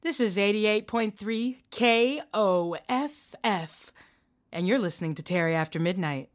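A woman's voice: medium pitch, unhurried, close to an everyday voice. A woman playing a late-night radio host who turns from outraged to coldly menacing in an audio drama. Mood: calm menace. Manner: indignant then coldly menacing. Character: female late-night radio host turned vengeful killer.

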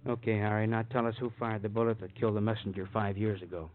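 Male actor as dry, needling police sidekick, quick clipped patter, vintage radio drama with tinny sound. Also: lowish voice, level tone, close to an everyday voice. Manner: clipped, casual, drily needling. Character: breezy, sardonic, talkative police detective sidekick. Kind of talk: 1950s radio crime drama acting. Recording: old radio, phone-like band, faint noise.

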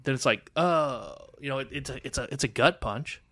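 A man with a bright, forceful voice chats frankly on a podcast, often restarting his sentences. He sounds close and dry, with no room around him. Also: mid-range voice, normal speed, dry recording. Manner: chatty, frank, stumbling restarts. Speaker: podcast co-host. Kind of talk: casual chat.

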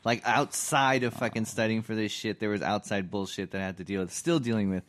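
A man's voice: fairly low pitch, fairly even intonation, a little fast, fairly bright tone. A young man co-hosting a podcast in casual chat, swearing and ranting with animation. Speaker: returning co-host.

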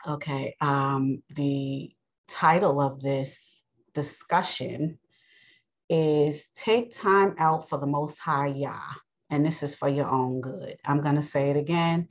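A woman with a New York accent, host of her own podcast, giving an earnest, unhurried spoken sermon with many pauses. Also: low voice, lo-fi sound.

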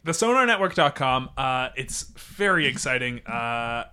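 A man hosting a comedy podcast, playful and quick to laugh. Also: medium pitch, big pitch swings, bright, forceful tone, smooth. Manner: playful, giggly interviewer. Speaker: podcast host.

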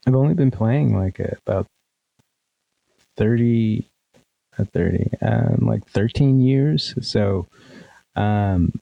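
A man's voice: on the low side, takes it slowly, creaky, long pauses, lo-fi sound. A man in his thirties talking about his music as a guest, with a sleepy, nasal voice and a hesitant manner full of ums and restarts. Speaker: guest, guitar pedal demo musician. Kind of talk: casual interview chat.

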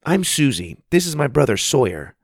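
A man voicing a brisk, practical young girl in a raised voice. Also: mid-range voice, sing-song, creaky, slightly rough, no room sound, studio quality, voice pushed up, exaggerated voice. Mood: bossy.

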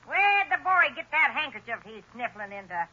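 A woman's voice: sits high, big pitch swings, moderate pace, sharp tone, slightly rough, full character voice. A woman playing a gleefully wicked gang accomplice in a 1930s radio crime drama, on a dull, tinny old recording. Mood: gleeful malice.

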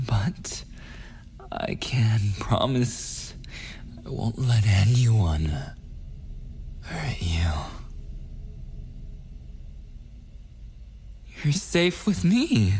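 A man's voice: mid-range voice, darkish tone, a little hiss or hum. A man playing a possessive, unhinged, murderous kidnapper boyfriend in an ASMR audio roleplay, menacing and often slipping into whispers. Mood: quietly menacing, then adoring, possessive. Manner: slow, drawn-out menace slipping into whispers and giggles.

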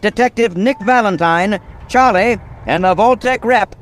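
An actor playing a grim, dramatic storyteller, narrating a scripted old-time radio mystery. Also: male voice, high-pitched, a little slow, fairly bright tone. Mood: ominous. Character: grim male noir storyteller, dramatic and ominous.

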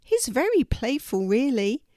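A woman voicing a calm, kindly male donkey in a children's story, unhurried and reassuring. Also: sits high, no echo, studio quality, voice pushed up.

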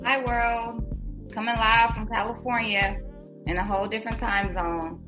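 A woman from South Carolina who grew up in Detroit, speaking as a podcast guest in a relaxed, self-assured way. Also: a little high, a little slow, music in the background. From South Carolina, raised in Detroit. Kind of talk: casual interview.

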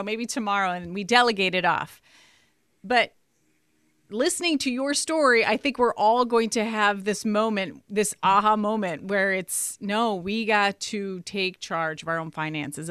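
A woman hosting a podcast interview, chatty and quick with short encouraging replies. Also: medium pitch, fairly bright tone, clear, resonant tone, dry recording, clean studio sound. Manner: chatty, reacts with short affirmations. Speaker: podcast host. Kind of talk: casual interview.

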